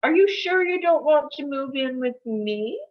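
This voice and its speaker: Woman acting older divorced mother, brash, chatty, melodramatic, very animated high voice, comedy read, slightly dull audio. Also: normal speed. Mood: needling, bitter. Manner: breezy, blunt, melodramatic.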